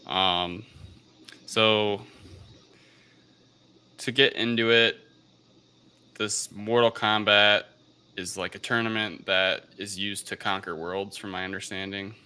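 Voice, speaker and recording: A man's voice: lowish voice, fairly even intonation, slow pace, long pauses, sharp tone, clear, resonant tone. A man hosting a podcast, talking casually through a film review in a somewhat hesitant way. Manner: hesitant, unsure of pronunciations. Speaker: podcast host.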